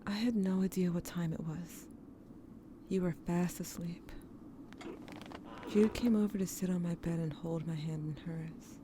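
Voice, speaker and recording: A woman narrating as an adult looking back on childhood, quiet and often close to a whisper. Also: lowish voice, noisy background, close to an everyday voice. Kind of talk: first-person narration in audio drama.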